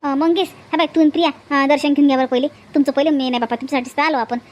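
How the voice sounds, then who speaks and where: A woman's voice: sits high, steady tone, very fast, close to an everyday voice. A woman voicing a bossy, chatty cartoon village aunt with a Vidarbha Marathi accent, nagging and repeating herself. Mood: fussing. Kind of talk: exaggerated comic cartoon dialogue.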